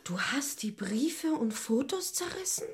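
An actress playing an indignant young daughter, reproachful and halting, in a radio drama. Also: female voice, sits high, sing-song. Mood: shocked, reproachful.

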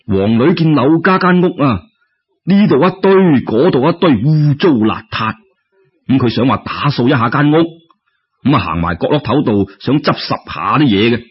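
Male Cantonese storyteller, husky voice, exclamatory folksy delivery, muffled radio-like sound. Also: fairly high pitch. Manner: colloquial, expressive, exclamatory. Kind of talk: animated Cantonese folk-tale storytelling.

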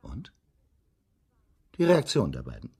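An actor in a German radio drama playing a police inspector who probes a suspect with short, prompting questions in a husky voice. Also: male voice, rumbling low, huge pitch swings, slow pace, a little mellow, full character voice. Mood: probing, intent.

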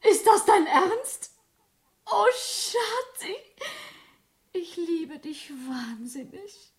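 An actress in a German radio play as an excitable, genteel bride-to-be, polite and somewhat condescending mistress of the house. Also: female voice, very high voice, extremely slow, exaggerated voice. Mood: overjoyed.

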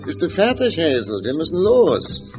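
An actor playing a mild middle-aged family father, gently urging, in a German audio drama. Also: on the high side, huge pitch swings, moderate pace, music in the background. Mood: mildly impatient. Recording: dull audio.

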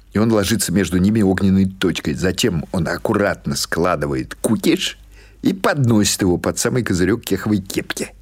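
A man's voice: fairly low pitch, husky. A man reading literary fiction aloud as a scripted audiobook narration.

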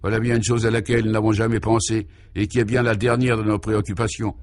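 A man's voice: on the low side, level tone, quick pace, lightly acted. A man voicing a pompous, exalted inventor who lectures grandiloquently in solemn nonsense jargon for a comic radio serial. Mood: exalted, grandiose. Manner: grandiloquent, emphatic, oratorical.

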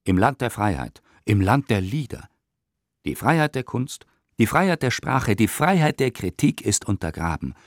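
An actor in a radio play reciting an 1890s newspaper polemic as an impassioned, indignant reader with emphatic rhetorical delivery. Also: male voice, medium pitch, very animated, moderate pace, no room sound, clean studio sound, close to an everyday voice. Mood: impassioned outrage. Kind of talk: dramatic radio play recitation of historical press text.

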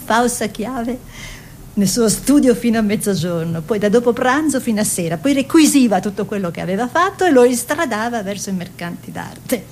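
A woman's voice: expressive, moderate pace, a little mellow. An actress playing a spirited, plain-spoken peasant-born woman painter in a conversational, dreamy piece of theatrical radio storytelling. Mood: matter-of-fact. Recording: noisy with low rumble.

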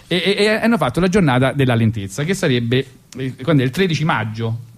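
A man's voice: mid-range voice, expressive, a little fast. A man with a Roman accent hosting a radio show in an ironic, colloquial comic monologue style, rambling and repeating himself.

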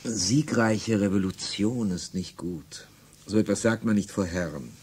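Cynical slaveholder, husky, fairly low, scornful laughter, radio play. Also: male voice, unhurried, darkish tone. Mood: commanding. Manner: mocking, laughing, commanding. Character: cynical aristocratic slaveholder, middle-aged man. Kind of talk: 1980s German radio drama acting.

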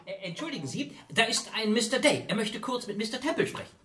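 An actor playing a polite, casual young household servant in a radio crime drama. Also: male voice, high voice, big pitch swings, fast talker, a crowd in the background.

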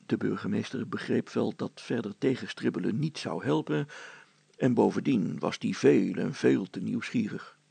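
A man's voice: lowish voice, normal speed, gravelly, warm tone, slightly rough, no echo. A man narrating a scripted children's story as the storyteller.

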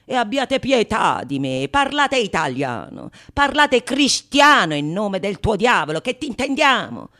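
A woman acting a brash, needling male rogue in a radio comedy, loud, theatrical and sarcastic. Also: mid-range voice, sing-song, unhurried, few pauses. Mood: mocking, exasperated. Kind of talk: stage monologue radio drama.

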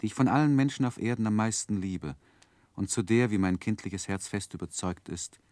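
An actor playing a grown man who soberly recalls his childhood, narrating a 1960s radio play in a measured, reflective way. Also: lowish voice, darkish tone, no room sound, natural voice. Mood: wistful, reflective.

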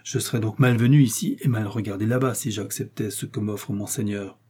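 A man's voice: on the low side, fairly even intonation, a little fast, a little mellow, breathy edge. A man reading an audiobook, voicing a brave, proud young swordsman who speaks in a respectful, earnest way.

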